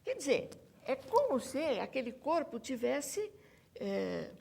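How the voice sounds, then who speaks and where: A woman's voice: high voice, sing-song, slow pace, vocal fry, darkish tone. A Brazilian woman speaking emphatically as an academic guest in a panel discussion, insisting on her points and repeating them. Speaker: academic guest, researcher and author.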